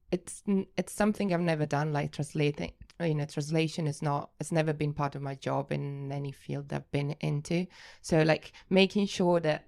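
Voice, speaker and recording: Interview guest: late-20s woman, native Italian with Italian accent, low gravelly voice, casual, self-correcting. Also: darkish tone. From Italy.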